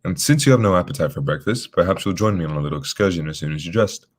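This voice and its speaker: A man's voice: sits low, fast talker. A man playing a shrewd, composed detective in a radio drama, speaking formally and matter-of-factly. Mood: composed, purposeful.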